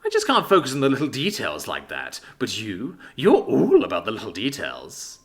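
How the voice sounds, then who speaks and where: A man voicing Max, a brash, impulsive young man with an axe who talks loud and eager. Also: mid-range voice, wildly swooping pitch, normal speed. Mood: insistent. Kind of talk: audiobook character voice.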